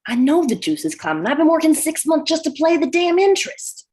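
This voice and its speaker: A woman's voice: high-pitched, big pitch swings, fairly quick, darkish tone. A woman playing a frightened male dockworker in debt, pleading and whining in a script table read. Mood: exasperated.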